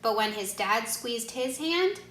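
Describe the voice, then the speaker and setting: A woman reading a children's storybook aloud expressively, pausing to put questions to her young listeners. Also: on the high side, lively intonation, a bit bright, a little hiss or hum. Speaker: read-aloud narrator, teacher asking listeners questions. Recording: echoey room.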